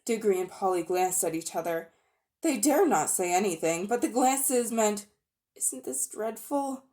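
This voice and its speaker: A woman giving an expressive, animated dramatic reading of a children's storybook. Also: medium pitch, normal speed. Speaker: reader.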